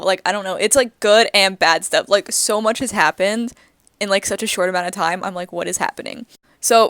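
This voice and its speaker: Smooth-voiced chatty woman in her twenties. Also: medium pitch, fairly bright tone, no room sound, studio quality. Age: 20s. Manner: chatty, giggly, lots of "like" fillers. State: groggy morning voice. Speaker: podcast co-host. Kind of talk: casual chat.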